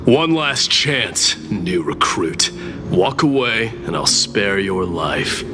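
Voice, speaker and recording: An actor playing a proud, stern warrior prince in a video game, curt and menacing. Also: male voice, fairly low pitch, sing-song, slow. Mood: cold, challenging. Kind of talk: scripted video game voice acting. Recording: loud game music behind.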